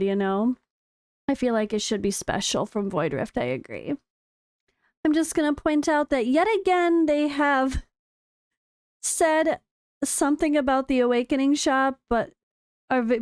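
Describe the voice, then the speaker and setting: An American woman with a slightly hoarse voice, a gaming content creator chatting excitedly through developer notes. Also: fairly high pitch, dry recording, clean studio sound. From United States. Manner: chatty and excitable, with frequent fillers. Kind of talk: casual commentary reading developer notes.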